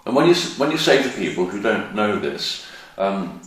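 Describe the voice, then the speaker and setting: An older man hosting a podcast, relaxed and rambling as he chats with a guest. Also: on the low side, normal speed, heavy reverb, recorded from a distance, poor audio. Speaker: podcast host. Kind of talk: casual interview chat.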